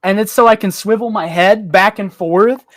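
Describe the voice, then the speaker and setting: A podcast panelist chatting casually and jokingly, telling animated hypotheticals and acting out little dialogues. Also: mid-range voice, normal speed, dry recording. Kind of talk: casual, joking group chat.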